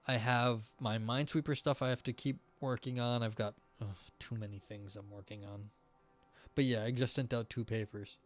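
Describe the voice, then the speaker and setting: A young man chatting casually on a live stream, rambling with many fillers and restarts, his voice starting to go hoarse. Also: medium pitch, steady tone, moderate pace, faint music behind. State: voice going hoarse.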